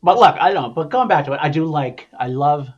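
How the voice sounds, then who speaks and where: A man's voice: fairly high pitch, lively intonation, a little fast, slightly rough, highs cut off. A man hosting a casual film discussion podcast, talking in an emphatic, rambling, sweary and opinionated way. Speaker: podcast host.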